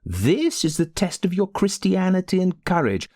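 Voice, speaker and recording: Man voicing a forceful, indignant wife, swooping pitch. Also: big pitch swings, normal speed, dry recording, studio quality. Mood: indignant, scornful. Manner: emphatic, goading, insistent. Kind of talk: audiobook character voice.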